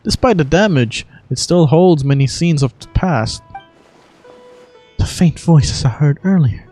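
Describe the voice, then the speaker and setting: A performer voicing a composed, courteous adult character from a fantasy game in a measured, expository manner. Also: medium pitch, expressive, warm tone, faint music behind. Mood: solemn, wondering.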